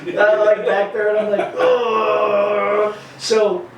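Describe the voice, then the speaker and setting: A man in his thirties, an animated storyteller who acts out quotes, chatting casually as a podcast host. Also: high-pitched, slow pace, vocal fry, a little hiss or hum. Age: 30s. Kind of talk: casual chat. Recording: echoey room, distant mic.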